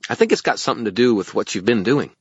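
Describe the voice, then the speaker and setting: Excitable, high-pitched tiny fairy. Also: male voice, quick pace, breathy edge, no echo, muffled recording. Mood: chirpy, earnest. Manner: shrill, exclamatory, impetuous. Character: excitable tiny male fairy, impatient and pizza-obsessed. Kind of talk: audiobook character acting.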